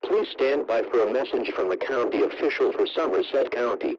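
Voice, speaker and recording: A man's voice: mid-range voice, expressive, brisk, close to an everyday voice. A man's voice as an automated emergency alert announcer, formally reading an official bulletin in an impersonal, sober manner. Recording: dull, noisy radio-style alert broadcast.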